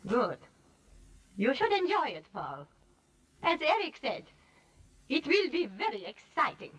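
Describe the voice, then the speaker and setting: An actress in a 1940s radio mystery playing a vengeful, imperious former ballerina who speaks in silky, deliberate threats. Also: female voice, high voice, huge pitch swings, a bit bright, a little hiss or hum, full character voice. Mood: cool menace. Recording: vintage 1940s radio, distant from mic.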